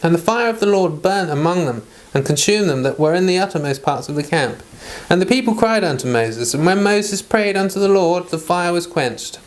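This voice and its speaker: A medium-pitched voice hosting a homely devotional read-along. The delivery moves between formal scripture reading and informal, chatty talk, as someone reading aloud for themselves and for listeners. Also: lively intonation, moderate pace, few pauses, low-quality recording. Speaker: host reading the Bible aloud.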